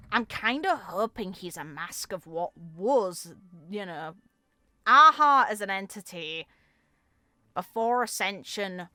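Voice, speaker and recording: A woman's voice: medium pitch, sharp tone, no room sound. A woman giving casual, informal reaction commentary as a game content creator, musing aloud as she goes.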